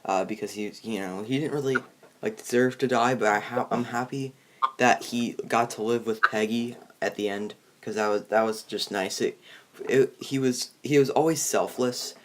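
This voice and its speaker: A young man hosting a casual review chat, talking in a halting, rambling, informal way. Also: medium pitch, steady tone. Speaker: host of a movie review video.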